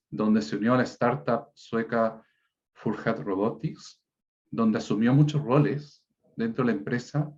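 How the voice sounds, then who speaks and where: A man's voice: mid-range voice, a little mellow. A man moderating an online seminar, formally reading out a speaker's introduction over a video call. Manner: formal, read-out delivery. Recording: dull lo-fi online call audio.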